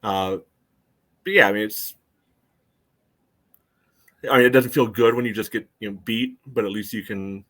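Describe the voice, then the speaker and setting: A man co-hosting a soccer podcast, giving casual analysis in a measured, conversational way. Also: on the low side, a bit bright.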